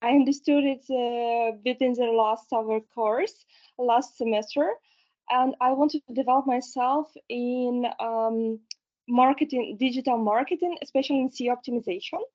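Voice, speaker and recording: A woman's voice: fairly high pitch, fairly even intonation, normal speed. A woman from Ukraine introducing herself carefully in class, with many hesitations. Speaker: student. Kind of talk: self-introduction. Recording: dull lo-fi audio.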